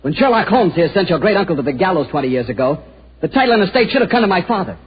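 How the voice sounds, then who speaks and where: An actor playing a bitter, aggressive American heir in 1940s radio style, sarcastic and taunting. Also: male voice, high voice, very animated, rapid-fire. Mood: defiant, taunting. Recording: muffled old radio recording.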